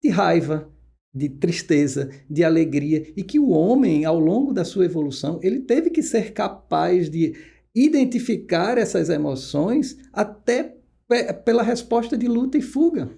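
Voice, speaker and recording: Podcast guest expert: male, northeastern Brazilian accent, soft dark timbre with fry, explanatory and searching for words. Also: high voice, very animated, unhurried. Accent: northeastern Brazilian, Pernambuco. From Pernambuco, northeastern Brazil.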